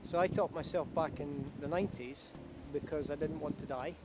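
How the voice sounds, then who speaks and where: A middle-aged man from Kenya, a paragliding instructor, chatting with self-assured quick asides outdoors by a beach. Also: a little high. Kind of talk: casual chat to camera outdoors. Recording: outdoor wind and traffic noise.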